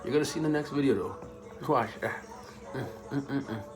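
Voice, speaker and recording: A man's voice: mid-range voice, normal speed, over music. A young man from the Bahamas answering viewer questions in a casual, joking, slang-filled vlog chat. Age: young adult. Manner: laid-back, joking, streetwise slang.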